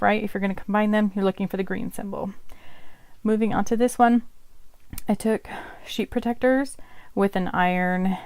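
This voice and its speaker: A woman's voice: mid-range voice, darkish tone, dry recording. A young woman whose first language is Polish, chattily walking through a homemade puzzle project in a casual tutorial. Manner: chatty, explanatory, occasional laughs. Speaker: craft vlogger.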